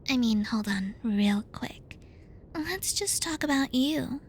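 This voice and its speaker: A bratty young tsundere student voiced by a woman in an ASMR roleplay. Her voice is high and breathy, often dropping toward a whisper, with a slow, halting delivery. She sounds pouty, bossy and easily flustered, close to the mic over faint hiss. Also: lively intonation, full character voice. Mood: bossy, teasing. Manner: halting, pouty, defensive, stumbles over words. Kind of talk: ASMR roleplay addressed to the listener.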